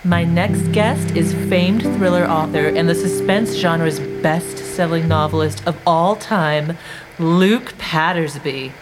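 A woman playing a talk show host in an audio drama, with formal, measured announcing. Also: fairly low pitch, loud music behind the voice, low-quality recording. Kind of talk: broadcast interview introduction.

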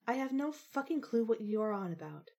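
Woman acting a high-pitched, sharp teenage sister. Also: very animated, moderate pace. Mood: dry, exasperated. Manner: polite diction mixed with swearing. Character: sharp, formal-spoken, swearing teenage sister. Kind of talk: fan fiction audio drama acting.

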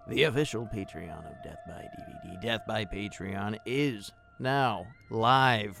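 A man's voice: on the low side, gravelly, fairly bright tone. A man hosting a podcast, reading an announcement in a theatrical, dry, tongue-in-cheek way. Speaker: podcast host.

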